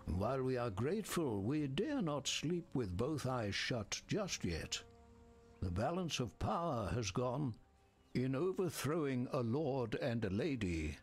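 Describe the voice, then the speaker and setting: A grave camp leader in a video game. The voice is raspy and unhurried, with lively rises and falls, and formal in its wariness. Faint music plays behind. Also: male voice, medium pitch. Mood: solemn, wary.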